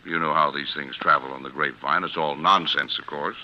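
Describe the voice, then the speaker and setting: Fatherly American law boss, middle-aged, low-voiced, earnest and soothing; vintage radio drama, dull old recording. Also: steady tone, fairly quick. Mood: fatherly, reassuring. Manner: earnest, avuncular. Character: fatherly, reassuring older male law boss. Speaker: defense attorney, head of a law office. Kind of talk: 1940s radio acting.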